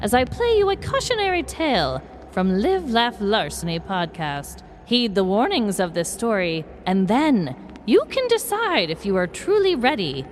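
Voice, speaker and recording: A woman's voice: medium pitch, big pitch swings, a little hiss or hum, close to an everyday voice. Woman playing a theatrical old witch, wildly swooping voice. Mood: ominous warning. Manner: theatrical, drawn-out, cautioning. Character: theatrical old witch shopkeeper, sly and ominous. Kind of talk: scripted Halloween podcast skit.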